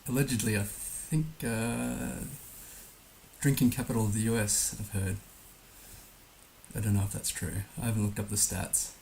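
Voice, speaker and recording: Male game streamer, hoarse and gravelly, unhurried thinking-out-loud delivery, faint hiss on the track. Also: fairly low pitch, fairly even intonation, poor audio. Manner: musing, hesitant, thinking aloud. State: hoarse, recovering from being under the weather. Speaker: livestream host playing a geography guessing game. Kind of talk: casual live game commentary. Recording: noticeable background hiss.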